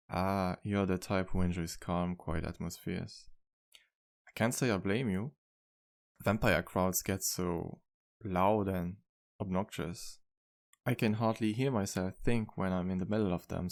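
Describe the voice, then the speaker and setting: A man playing a charming, flirtatious vampire bartender in an ASMR roleplay, speaking in a courteous, teasing, intimate way with unhurried pauses. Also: deep voice, a little mellow. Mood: calm, curious. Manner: smooth-talking, courteous, playful, unhurried pauses. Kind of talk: ASMR roleplay, intimate one-sided dialogue.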